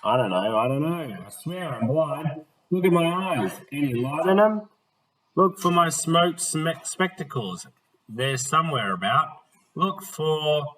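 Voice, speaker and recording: A man voicing a large, frightened, blind old gentleman who pleads anxiously for help. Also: on the high side, animated, unhurried, distant mic, pitched above the performer's own voice, rough recording. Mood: pleading.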